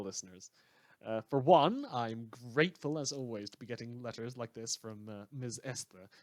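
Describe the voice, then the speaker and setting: A performer playing a mild, earnest radio host in a fan audio drama, talking to listeners in a hesitant, rambling way with hums and pauses. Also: medium pitch, dry recording, lightly acted. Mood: grateful, hesitant.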